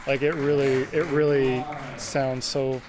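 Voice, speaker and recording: A man's voice: mid-range voice, flat delivery, a little slow. A man, a sound editor, giving a casual interview. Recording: busy crowd chatter behind.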